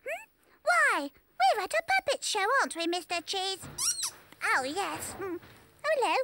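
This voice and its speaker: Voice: very high-pitched, sing-song, measured pace, heavy background noise, full character voice. Someone doing a boastful tiger quiz host. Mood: showy. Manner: boastful, bouncy game-show patter. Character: cheery showy tiger puppet quiz host. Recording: music bed underneath.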